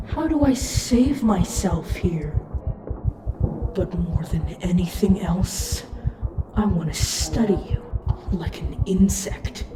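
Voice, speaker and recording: Slow, echoing, taunting supernatural captor, performed by an actor. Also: mid-range voice. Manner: slow, mocking, theatrical, savoring words. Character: taunting disembodied supernatural captor, ageless, cruelly curious. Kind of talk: audio roleplay, addressed to listener. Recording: echoey, distant, with humming noise.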